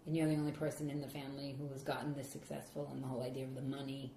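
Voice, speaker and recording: A woman with a Boston accent hosting a podcast interview in casual chat, laughing often and talking in quick run-on bursts. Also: very deep voice, flat delivery, mellow, reverberant, slight background noise, rough recording. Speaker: podcast host.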